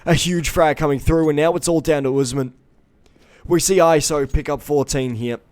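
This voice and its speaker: Male esports caster, headset mic, live play-by-play that gets loud on big moments. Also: a little high, moderate pace, smooth. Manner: builds to loud excitement on big plays.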